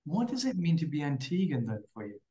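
A man hosting a podcast interview over a headset on a video call, asking friendly quick questions. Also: fairly high pitch, very animated, dark tone, highs cut off. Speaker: podcast host. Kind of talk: interview host.

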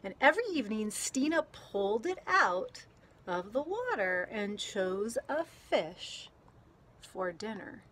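A woman reading a children's picture book aloud outdoors in a gentle, deliberate way, pausing between phrases. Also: medium pitch, sing-song. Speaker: story reader. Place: outdoors, garden. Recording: clear outdoor background noise.

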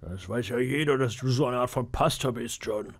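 A man voicing a blunt, rough pirate sailor in an audiobook, gruff in manner. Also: medium pitch, moderate pace. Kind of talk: audiobook character voice.

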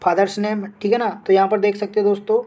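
A man's voice: sits high, little pitch movement, brisk, mellow. An Indian man hosting an educational update channel, talking informally through a screen-share tutorial and repeating himself with frequent check-in tags. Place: voice-over on a phone screen recording.

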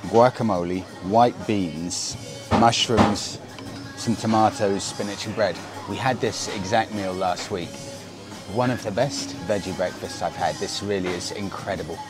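Upbeat man vlogging on the seafront. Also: fairly low pitch. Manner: enthusiastic, upbeat, chatty. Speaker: travel vlogger. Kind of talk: casual travel vlog to camera. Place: outdoors on a seaside promenade and in a cafe. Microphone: clip-on lapel mic.

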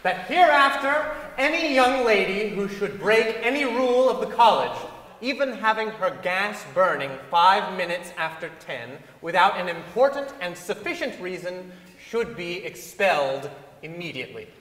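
A man's voice: sits high, expressive, normal speed, a bit bright. A man giving a dramatic reading and narration on the stage of a large concert hall. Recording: echoey concert hall, long reverb.

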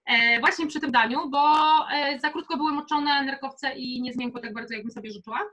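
A woman's voice: sits high, fairly quick, sharp tone, lo-fi sound. A young woman leading a casual live cooking workshop in a chatty, informal, encouraging way. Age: young adult. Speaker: cooking instructor. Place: home kitchen, indoors.

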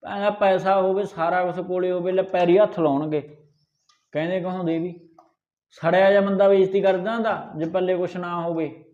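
A man's voice: high-pitched, steady tone, fairly quick, darkish tone, lo-fi sound, natural voice. A man playing a greedy, irritable husband in a short film, scolding and argumentative with a Punjabi accent. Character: greedy, irritable husband, dismissive of his wife. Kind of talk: naturalistic short film dialogue.

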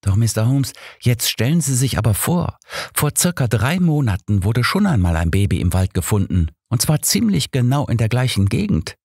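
Male reader as outspoken young woman, animated, friendly, raised pitch, dry storybook recording. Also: moderate pace, husky, no room sound. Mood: earnest, concerned. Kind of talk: audiobook character voice.

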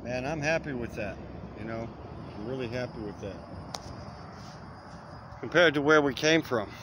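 A man's voice: medium pitch, moderate pace. A man, rambling folksy vlog talk outdoors at dusk, recorded on a close-held phone. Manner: rambling, folksy, trails off with fillers. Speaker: vlogger. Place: outdoors in dense brush at dusk. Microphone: phone camera held close. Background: crickets, insects and distant traffic.

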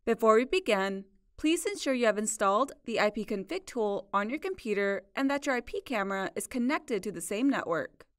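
A woman narrating a scripted software tutorial in a measured, instructional way. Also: fairly high pitch, dry recording, studio quality. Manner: clear, measured instructional delivery. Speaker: support video narrator.

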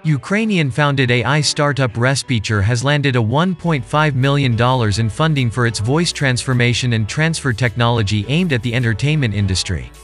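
A man's voice: medium pitch, normal speed, hardly any pauses, faint music behind. A man from Germany presenting a video as scripted narration.

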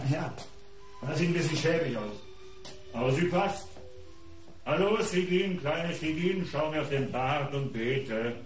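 A man's voice: a little high, expressive, slow pace, long pauses, faint music behind, rough recording. An actor playing a wry male house guest in a German radio murder mystery, with a teasing way of talking. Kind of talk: German radio crime drama acting.

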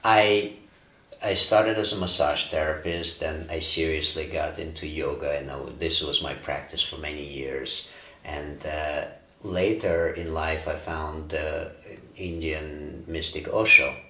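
A man in his forties from Ukraine, a breathwork teacher, answering interview questions in a calm, reflective way with frequent fillers. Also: very deep voice, a little slow. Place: bright studio room, indoors. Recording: roomy studio echo.

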